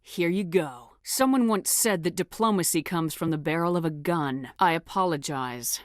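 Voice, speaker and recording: Game lead: a woman playing a tough female commander, lower register, firm and no-nonsense, very dry studio sound. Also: moderate pace, dry recording, natural voice. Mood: threatening, scornful. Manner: firm, clipped, authoritative. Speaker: video game voice actor. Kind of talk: video game dialogue acting.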